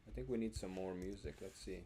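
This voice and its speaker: A man's voice: low voice, fast, mellow, a little hiss or hum. A young man hosting a live coding stream, thinking aloud with many ums, his phrases fading out. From Norway. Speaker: streamer host. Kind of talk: casual live-coding chat. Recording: faint music and keyboard typing behind.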